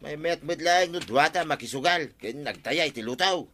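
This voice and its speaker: A man's voice: a little high, expressive, normal speed, a bit bright. A man playing a boastful Ilocano village gambler. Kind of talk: Ilocano radio comedy drama acting.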